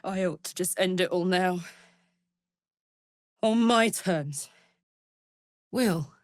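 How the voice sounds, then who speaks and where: A woman's voice: fairly low pitch, takes it slowly, dry recording, clean studio sound. An actress voicing a brash, big-hearted young female warrior in a video game, blunt and swaggering, tough yet playful and emotionally open. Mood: heartfelt, somber. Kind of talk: video game character voice lines.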